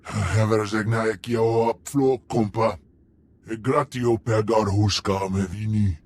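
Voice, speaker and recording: A man voicing a deliberate alien in a video game, speaking an invented tongue. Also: on the low side, steady tone, darkish tone, no room sound.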